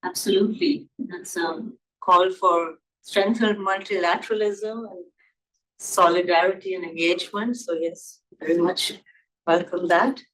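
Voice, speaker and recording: A woman's voice: on the low side, steady tone, measured pace, poor audio. A woman from India hosting a conference session, handing over to the next chair in a chatty way. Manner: self-described loud voice, chatty. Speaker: session host. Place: conference hall.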